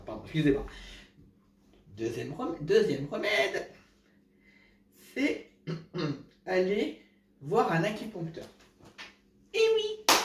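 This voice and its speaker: Stuffy-voiced man, halting vlog. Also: on the high side. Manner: halting, many restarts and self-corrections. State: congested with a cold. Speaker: vlogger giving home remedy tips. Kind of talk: casual chat to camera. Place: indoors at home at night. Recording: roomy, from a distance.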